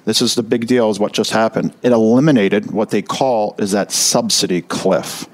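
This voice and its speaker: A middle-aged man, a retirement financial advisor, explaining to listeners with energy and slowing down to stress key figures. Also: lowish voice, steady tone.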